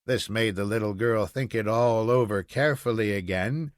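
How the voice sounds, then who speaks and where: A man reading a children's story aloud in an expressive, measured storytelling manner. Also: mid-range voice, no echo, studio quality. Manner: measured storytelling with acted dialogue. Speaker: audiobook reader.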